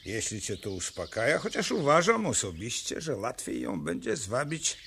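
An actor playing a calm, reasonable storybook character who gives gentle advice in a measured way in a radio play. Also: male voice, medium pitch, slight background noise. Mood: reassuring.

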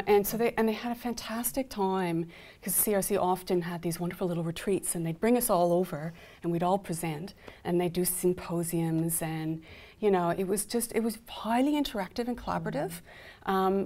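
A woman's voice: medium pitch, darkish tone. A middle-aged woman with a Canadian accent, an academic chatting enthusiastically on a panel. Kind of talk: conversational panel discussion. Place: indoor studio panel set.

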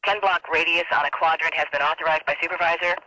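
A man's voice: fairly high pitch, fairly even intonation, fast. A man playing a formal, procedural police dispatcher in a video game, heard through a noisy radio filter. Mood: businesslike. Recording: loud static-like radio noise.

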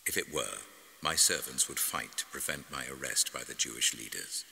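A man playing a calm, unyielding holy man on trial in a scripture drama, speaking in a measured, grave way. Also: rumbling low, gravelly, pitched below the performer's own voice, full character voice. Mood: calm, solemn. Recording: long hall-like reverb.

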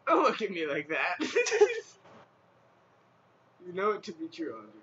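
A young man playing a strategy game with a friend, joking crudely and boasting loudly. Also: sits high, wildly swooping pitch, measured pace. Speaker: player. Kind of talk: casual gaming banter. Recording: dull lo-fi mic with faint hiss.

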